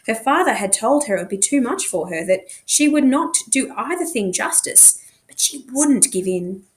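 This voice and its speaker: A woman narrating a novel expressively, as a teacher reading aloud to students. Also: medium pitch.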